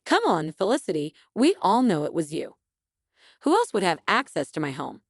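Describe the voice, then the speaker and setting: Woman voicing an indignant elderly mother-in-law, animated. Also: lowish voice, moderate pace, no echo, clean studio sound, exaggerated voice. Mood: accusing. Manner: indignant, accusing.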